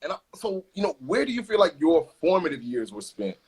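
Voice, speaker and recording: A man's voice: on the high side, big pitch swings, brisk, slightly rough. A young man hosting a casual interview, chatty and laid-back, full of slang. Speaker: host.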